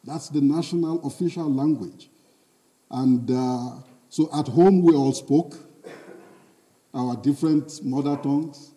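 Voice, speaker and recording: A man from northern Nigeria with a Nigerian accent, giving a measured, emphatic public lecture. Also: medium pitch, warm tone, distant mic. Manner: measured, emphatic, quotes others in voices. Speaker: guest lecturer.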